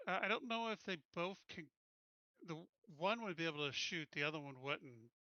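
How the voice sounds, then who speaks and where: A man with a fairly high, bright, forceful voice, chatting casually as a player in a tabletop game. It is clean and dry, recorded slightly dull. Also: lively intonation, moderate pace, no room sound.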